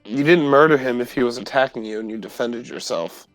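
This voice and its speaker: A man's voice: mid-range voice, expressive, a little fast, no room sound, low-quality recording. Male tabletop player, casual in-character talk, dry close recording, faint music underneath. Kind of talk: casual in-character game chat.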